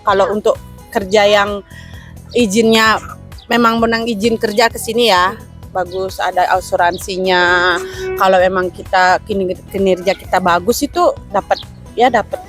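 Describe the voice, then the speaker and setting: A woman's voice: medium pitch, steady tone, moderate pace, a bit bright, faint music behind. A woman speaking casually as a guest in an informal interview. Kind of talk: casual chat.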